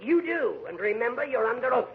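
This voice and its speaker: Crotchety, high-pitched old-radio judge. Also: male voice, big pitch swings, brisk, gravelly, full character voice. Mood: irritated, scolding. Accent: American. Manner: imperious, scolding, sarcastic. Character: crotchety, easily offended male judge ruling his courtroom. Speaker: actor in a radio comedy. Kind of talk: 1940s American radio comedy acting. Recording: muffled, noisy 1940s radio recording.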